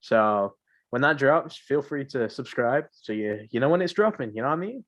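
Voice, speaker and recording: A man's voice: medium pitch, expressive, moderate pace, fairly bright tone. Rambling, joking young man on a podcast. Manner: joking, rambling, slangy, repeats himself. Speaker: podcast co-host. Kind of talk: casual banter.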